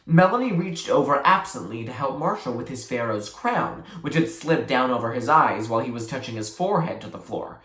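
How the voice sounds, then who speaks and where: A reader giving an expressive, dramatic read-aloud of a children's story. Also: mid-range voice, brisk, few pauses. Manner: animated, dramatic. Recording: roomy, echoey sound.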